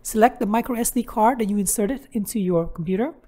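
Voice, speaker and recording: A woman's voice: mid-range voice, fast talker, dark tone. A woman hosting a how-to tutorial, narrating instructions step by step. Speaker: tutorial host.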